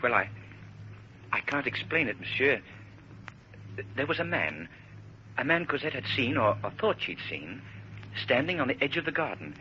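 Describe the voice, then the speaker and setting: An actor playing an earnest, anxious twenty-year-old student in a 1930s radio drama, halting and polite. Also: male voice, medium pitch, sing-song, bright, forceful tone. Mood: uneasy. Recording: muffled, hissy old radio broadcast.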